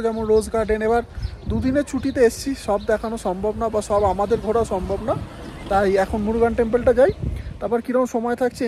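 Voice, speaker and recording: A man chatting casually to viewers in a travel vlog, giving tips as he goes. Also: high-pitched. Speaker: travel vlogger. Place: car and outdoor sightseeing spots. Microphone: phone held by the speaker. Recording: phone recording with loud road and outdoor noise.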